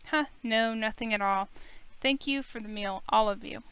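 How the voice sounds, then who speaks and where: Clear, higher-pitched woman reading game story. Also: normal speed, fairly bright tone, dry recording. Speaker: gaming streamer reading a visual novel aloud. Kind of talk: expressive story read-aloud of game text.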